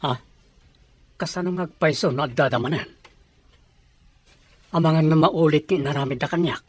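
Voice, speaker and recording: A man's voice: fairly high pitch, moderate pace, slightly rough, soft background music. Guarded Ilocano male boss. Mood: matter-of-fact. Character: adult male boss, guarded, matter-of-fact. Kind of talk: Ilocano radio drama acting.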